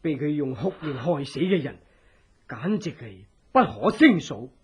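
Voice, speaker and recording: An actor playing an earnest, secretive revolutionary, speaking with urgent, theatrical persuasion in a radio drama. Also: male voice, a little high, very animated, measured pace, a little hiss or hum. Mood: indignant. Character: earnest, secretive revolutionary, escaped political prisoner. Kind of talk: Cantonese radio drama acting. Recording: dull, lo-fi old radio drama.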